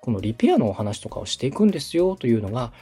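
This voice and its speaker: Husky, mellow man with lively intonation. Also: mid-range voice, rapid-fire, soft background music. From Osaka, Japan. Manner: polite, methodical, summarizing point by point. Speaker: podcast host, radio director coaching a podcaster. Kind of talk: structured, explanatory coaching talk.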